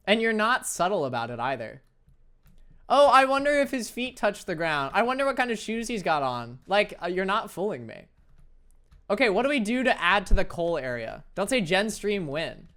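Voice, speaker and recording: A young man with a light, high-pitched voice, chatting casually and playfully with his viewers while he plays a game, laughing often and joking at his own expense. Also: fairly bright tone, smooth. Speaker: streamer. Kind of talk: casual chat reading viewer messages while gaming.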